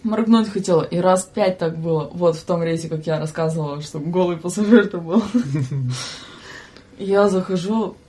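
A woman's voice: fairly low pitch, moderate pace, warm tone. Young woman telling casual stories, chuckling along. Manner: acts out dialogue, chuckles between phrases. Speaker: guest, student train conductor and video operator. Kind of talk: lively casual storytelling in a podcast chat. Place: podcast studio.

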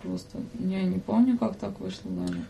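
A woman's voice: mid-range voice, wildly swooping pitch, moderate pace, vocal fry, warm tone, slight background noise. A young woman chatting casually as a podcast guest, blunt, slangy and joking. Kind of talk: casual chat.

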